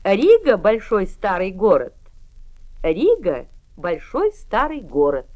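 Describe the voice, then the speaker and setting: A woman's voice: mid-range voice, wildly swooping pitch, unhurried, a little mellow, lo-fi sound. A woman reading pronunciation drills with exaggerated, overarticulated words. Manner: overarticulated, sing-song.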